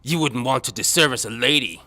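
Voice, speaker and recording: A man's voice: medium pitch, fairly quick, sharp tone, voice pushed up, natural voice. A man voicing a snide, taunting henchman in an audio drama, with music behind him. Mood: snide, threatening. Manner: taunting, menacing. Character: snide male thug henchman of a bishop.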